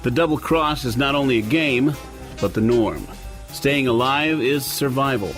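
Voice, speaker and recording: An actor playing a sardonic, deadpan noir detective who both narrates and talks in a radio drama. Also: male voice, medium pitch, unhurried, faint music behind. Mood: brooding narration. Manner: sardonic, deadpan, world-weary. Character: cynical wisecracking hardboiled male noir detective, Joe Smoke.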